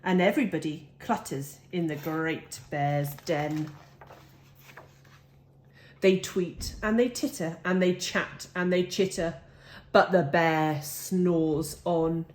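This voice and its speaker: A woman reading a children's picture book aloud with deliberate, dramatic phrasing. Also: low voice. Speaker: storybook reader.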